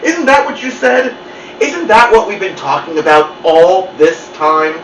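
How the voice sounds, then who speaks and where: A man voicing an excitable, nervous family dog in a children's story, incredulous and easily flustered. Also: high voice, unhurried, slight background noise, pitched above the performer's own voice. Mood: alarmed, puzzled. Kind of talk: animated children's story reading. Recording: muffled, roomy, distant mic.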